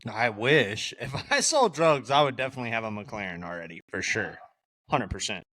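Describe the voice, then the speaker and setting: Bright-voiced joking young man. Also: on the low side, big pitch swings, moderate pace, no echo. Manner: joking, teasing, boastful banter. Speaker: podcast co-host. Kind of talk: casual chat.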